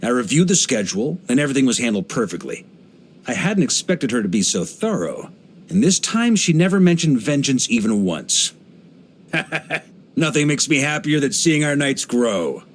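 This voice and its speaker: An actor playing a hearty, easygoing veteran knight grandmaster in a video game, speaking in an expressive, playful, conversational way. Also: male voice, medium pitch, normal speed, slight background noise, close to an everyday voice. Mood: cheerful, proud. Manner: jovial, expressive, playful, chuckling asides.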